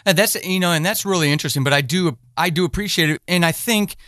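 A man's voice: high-pitched, quick pace, bright, forceful tone, no room sound, studio quality. A man hosting a podcast interview in a casual, earnest and encouraging way.

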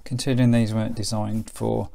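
A middle-aged British man giving a casual, unhurried hands-on commentary as he demonstrates something, thinking aloud as he goes. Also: on the low side, flat delivery, gravelly, mellow. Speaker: presenter demonstrating a vintage radio. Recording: faint background noise, clicks of handling.

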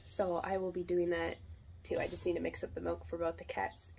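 A young woman in her twenties chatting casually to the camera with lots of ums, her voice a bit deeper from a head cold. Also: little pitch movement, a little fast, creaky, mellow, slight background noise. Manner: chatty, rambling with many ums. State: getting over a head cold, deeper voice than usual. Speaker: homesteading vlogger. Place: farm buildings, metal shed and barn. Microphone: phone held at arm's length.

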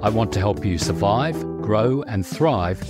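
A man hosting a business podcast, reading a polished, measured introduction and then chatting with his guest. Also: fairly low pitch, expressive, loud music behind the voice. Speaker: podcast host. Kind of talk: scripted intro, then interview chat.

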